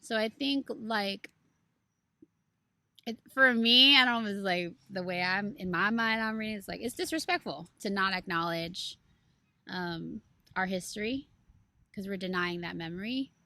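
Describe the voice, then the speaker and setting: Bright-voiced woman, earnest and rambling. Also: medium pitch, a little slow, dry recording. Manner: earnest, rambling, heavy on fillers like "like" and "you know". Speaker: book club co-host. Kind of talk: casual podcast discussion.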